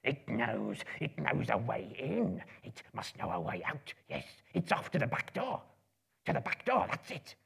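A man's voice: medium pitch, huge pitch swings, unhurried, a bit bright, full character voice. A man voicing a small, wretched, spiteful cave creature who hisses and mutters to himself in broken grammar, in a dramatic book reading. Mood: fretful, suspicious. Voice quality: raspy, hissing, creaky.

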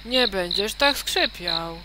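A man voicing a fretful old storybook grandma in a slow, drawn-out way. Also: high voice, lively intonation, background noise, pitched above the performer's own voice. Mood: coaxing.

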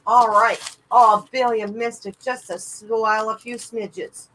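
Woman, bright forceful voice, medium pitch, chatty and playful, casual auction co-host, faint background noise. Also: fairly even intonation, measured pace, poor audio. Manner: chatty, playful banter with viewers. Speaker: auction co-host calling bids. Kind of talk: casual live auction chat.

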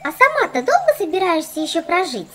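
A woman's voice: extremely high pitch, wildly swooping pitch, brisk, a bit bright, a little hiss or hum, full character voice. A woman voicing a fussy, boastful little doll girl in a children's cartoon, theatrical and condescending. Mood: haughty.

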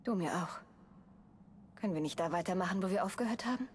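An actress playing a self-assured young Victorian adventuress in a dramatic game cutscene. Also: female voice, fairly low pitch, quick pace, slight background noise, close to an everyday voice. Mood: composed, tender. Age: young adult.

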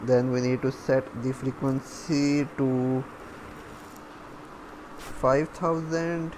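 A man giving a coding screencast tutorial, dictating step by step in short, halting phrases. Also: mid-range voice. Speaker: programming tutorial instructor. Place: voice-over for a screen recording. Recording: clear steady background noise.

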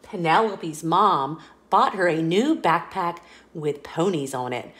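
A woman reading a children's picture book aloud in a playful, expressive storytelling voice, indoors. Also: lowish voice, slow pace. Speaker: storybook reader. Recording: roomy echo.

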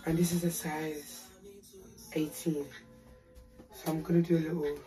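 A young woman with a deep, raspy voice, chatting playfully and slangily to camera in a small bedroom. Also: little pitch movement, a little slow, warm tone, soft background music, low-quality recording. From United Kingdom. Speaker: haul vlogger. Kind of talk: casual chat to camera.

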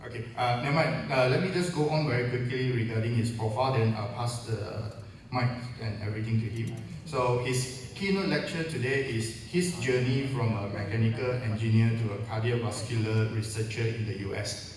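A man acting as event emcee, introducing a speaker formally and repeating himself as he tries to reach him. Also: medium pitch. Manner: repetitive, slightly halting. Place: large lecture hall. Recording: distant mic in echoey hall with noise.

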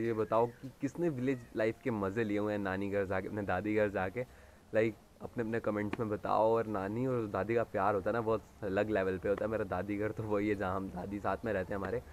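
A man's voice: on the low side, fairly even intonation, a little fast, a little hiss or hum. A man, chatting casually and playfully to the camera as a vlogger, addressing viewers directly. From India. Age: 20s. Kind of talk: casual vlog chat to camera.

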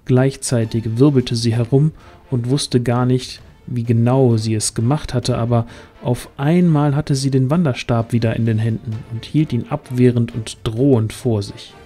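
Mellow male fantasy narrator. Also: mid-range voice, steady tone, normal speed, slightly rough, faint music behind. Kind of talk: scripted dramatic story narration.

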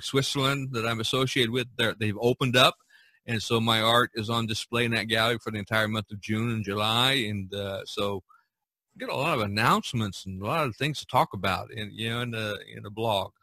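A man hosting a podcast in a casual chat, speaking in a halting, folksy and encouraging way. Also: medium pitch, steady tone, pushed and bright, dry recording. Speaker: podcast host.

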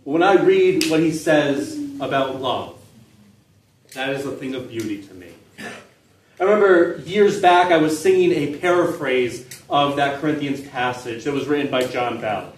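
A middle-aged man preaching a sermon in an open-air park pavilion, with measured delivery and phrases that trail off softly at the ends. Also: mid-range voice, distant mic, a little hiss or hum. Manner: measured preaching with falling, soft phrase endings. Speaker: pastor. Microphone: stand mic, filmed from a distance. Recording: echoey pavilion, voice from off-mic.

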